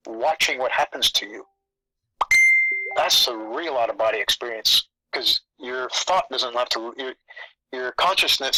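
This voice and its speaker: Bright-voiced rambling man, dry close sound. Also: medium pitch, slightly rough, dry recording, low-quality recording. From Canada. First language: Italian. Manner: rambling, repeats phrases. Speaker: spiritual channel host. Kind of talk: casual spiritual chat.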